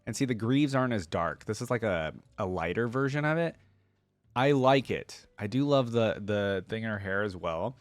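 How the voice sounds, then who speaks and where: A man's voice: lowish voice, expressive, normal speed, dry recording. A man giving casual reaction commentary as a video host, thinking aloud in informal, slangy language.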